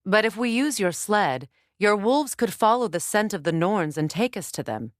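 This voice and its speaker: A woman's voice: medium pitch, sing-song, normal speed, dry recording, studio quality, close to an everyday voice. A woman acting a proud, grieving warrior goddess and sorceress in a video game, with a grave, intense, commanding manner. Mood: serious, measured.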